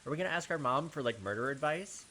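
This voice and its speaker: A man's voice: fairly high pitch, expressive, fast talker, bright, forceful tone, a little hiss or hum. A man hosting a game playthrough, reading story narration aloud and breaking off into informal, casual commentary. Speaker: host of a game playthrough channel.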